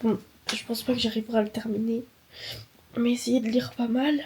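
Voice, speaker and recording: A young woman vlogging casually while sick with a cold and sore throat, speaking softly and sometimes dropping to a whisper. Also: fairly high pitch, flat delivery, a little fast, distant mic, slight background noise. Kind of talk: casual vlog talk to camera.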